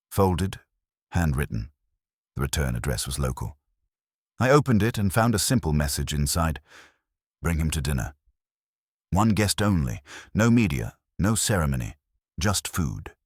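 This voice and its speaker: A man narrating a scripted first-person detective story in a wry, measured storytelling manner. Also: extremely low pitch, husky, no echo, studio quality. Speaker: audiobook narrator.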